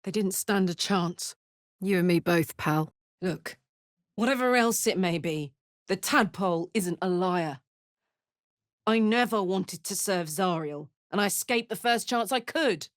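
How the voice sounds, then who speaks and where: A woman's voice: fairly low pitch, unhurried, dry recording, studio quality, natural voice. A woman voicing a brash, big-hearted, hot-tempered warrior in a fantasy video game, speaking bluntly, informally and with open emotion. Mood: grim, bitter.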